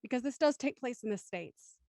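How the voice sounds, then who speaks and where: A woman's voice: on the high side, animated, fairly quick, warm tone, no echo. Thoughtful woman moderating, close dry sound. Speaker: discussion moderator. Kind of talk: panel discussion, posing questions.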